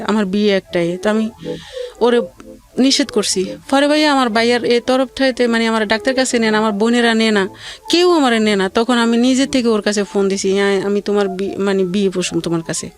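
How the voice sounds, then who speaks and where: Woman, fairly high voice. Plaintive, halting guest recounting personal hardship. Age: adult mother of two. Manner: plaintive, rambling, halting with repeated phrases. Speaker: guest telling her life story. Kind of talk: personal hardship testimony in conversation.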